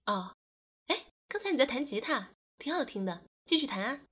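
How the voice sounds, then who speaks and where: A woman acting a gentle, wistful young student in an audio drama, speaking softly and reflectively. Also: sits high, big pitch swings, normal speed, echoey room, exaggerated voice. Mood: friendly, shy.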